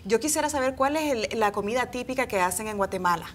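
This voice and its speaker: A woman from El Salvador runs a studio interview segment on a lapel mic, her voice pitched a little high. She talks in a chatty, exclamatory way with a faint low rumble behind her. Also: expressive, fast, a bit bright. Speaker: TV host. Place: indoor TV studio set.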